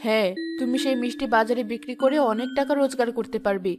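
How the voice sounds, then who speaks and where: High-voiced, gentle forest fairy, played by a woman. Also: fast, over music, natural voice. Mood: wise, encouraging. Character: gentle, wise female forest fairy bound to tree. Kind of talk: cartoon fairy-tale acting.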